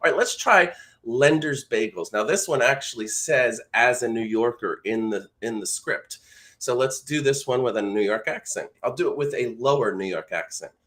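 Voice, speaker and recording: A man's voice: medium pitch, animated, moderate pace, a bit bright. Encouraging American male voice-over coach. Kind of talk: casual live instruction.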